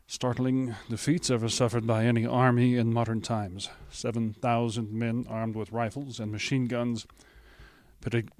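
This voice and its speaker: An actor playing a grave, shaken radio news announcer, solemn and halting as he reads emergency bulletins in 1930s radio style. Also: medium pitch, no room sound, natural voice.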